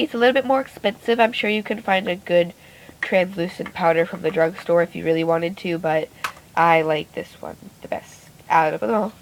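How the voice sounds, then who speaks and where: A woman with a raspy voice giving a chatty, rambling makeup tutorial, often stopping to correct herself, recorded on a phone. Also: fairly low pitch, rough recording. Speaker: beauty vlogger. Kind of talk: casual makeup tutorial narration. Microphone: phone camera.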